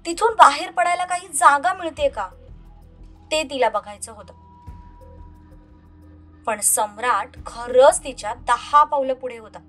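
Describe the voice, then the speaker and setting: A woman's voice: high-pitched, a little slow, long pauses, bright, forceful tone, soft background music. A woman narrating an audio love story in a dramatic scripted style.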